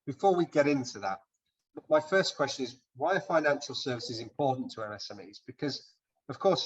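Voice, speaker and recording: A man's voice: mid-range voice, breathy edge. Polite man hosting an online webinar. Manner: measured, polite, with small restarts. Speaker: webinar moderator. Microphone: video call. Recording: dull lo-fi call audio.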